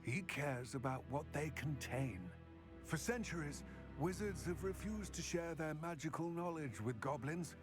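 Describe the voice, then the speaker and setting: A man's voice: a little high, lively intonation. Grave, formal goblin man. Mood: confiding, measured. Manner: formal, measured, articulate storytelling. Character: earnest, grave adult male goblin confiding old grievances. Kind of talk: scripted video game dialogue. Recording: game music behind the voice.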